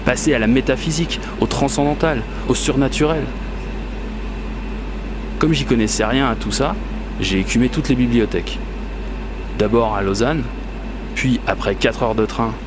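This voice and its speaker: A man's voice: medium pitch, moderate pace, pauses often, noisy background, lightly acted. A man playing an obsessive, whimsical dreamer in a first-person radio fiction monologue, speaking in a confiding, colloquial, self-mocking way. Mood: confiding, determined. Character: obsessive, whimsical Parisian man confiding a magical commuting quest. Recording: urban and train ambience behind voice.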